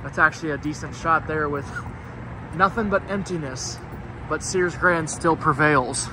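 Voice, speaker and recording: A man's voice: fairly high pitch, a little slow. A young man giving casual, rambling walk-through commentary as an urban explorer vlogger. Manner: chatty, rambling asides. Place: outdoors by an empty store building. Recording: outdoor noise on a handheld mic.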